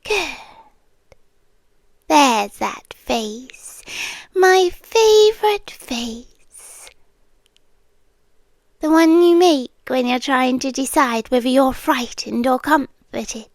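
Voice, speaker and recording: A woman with a British accent voicing a possessive, doting vampire girlfriend in intimate ASMR roleplay, breathy and often whispering close to the microphone. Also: sits high, expressive, no echo, full character voice. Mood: playful, menacing. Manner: slow, lingering, teasing menace. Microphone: close microphone, dry.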